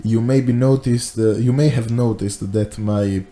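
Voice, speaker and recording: A man plays a tipsy narrator of a comic story with a wobbly fake Italian accent. He rambles slowly and haltingly. The tone is self-pitying and mournful. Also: lowish voice, steady tone, warm tone, natural voice. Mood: rambling, self-pitying. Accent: put-on Italian.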